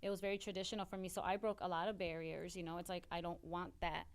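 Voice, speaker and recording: A woman's voice: on the low side, little pitch movement, fast talker. A woman from Chicago with an American accent, talking casually and candidly as a podcast guest, with emphatic delivery. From Chicago, United States. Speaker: podcast guest, small business owner. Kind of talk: casual personal chat.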